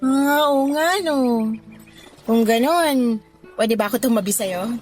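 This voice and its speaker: A woman's voice: a little high, takes it slowly. An actress playing a discontented, flirty mother of thirty who confides in a Filipino accent, in a conversational radio drama style. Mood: friendly, flirty. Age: 30s. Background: background music.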